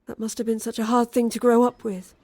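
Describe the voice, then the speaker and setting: Woman acting as worried daughter, gentle, mellow, slightly high voice, audio drama dialogue. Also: little pitch movement, a little fast, close to an everyday voice. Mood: gentle, concerned. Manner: gentle, concerned. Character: worried, gentle grown daughter of dying mother.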